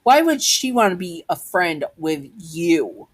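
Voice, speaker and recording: A woman's voice: fairly low pitch, sing-song, slow, husky, heavily acted. A woman voicing a hostile, condescending teenage bully boy in an amateur story narration. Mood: sneering. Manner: taunting, condescending.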